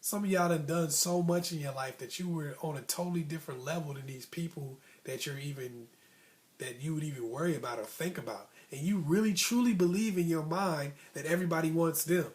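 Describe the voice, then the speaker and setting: A man's voice: fairly high pitch, brisk. An American man telling personal stories and giving life advice in an informal, conversational way, repeating phrases for emphasis. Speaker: host of a motivational storytelling vlog.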